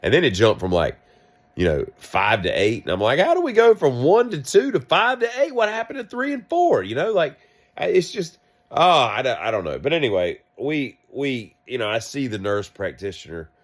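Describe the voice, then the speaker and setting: A man with a deep voice, sick and coughing, telling a rambling, wry story in a vlog. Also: huge pitch swings, normal speed. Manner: wry, self-mocking, meandering asides. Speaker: vlogger.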